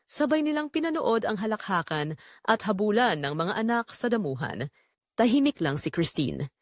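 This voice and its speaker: A woman narrating a romantic drama in a measured, sentimental storytelling manner. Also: mid-range voice, no echo, clean studio sound.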